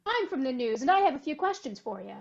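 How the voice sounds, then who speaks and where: A woman acts a nosy male reporter in a radio drama. The sound is dull and narrow, like a phone call. The voice is high, with persistent, pushy questioning. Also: very animated, fast, gravelly, exaggerated voice. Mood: prying. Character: pushy, prying male newspaper reporter on the telephone. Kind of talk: old-time radio drama acting. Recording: dull, band-limited telephone-call sound.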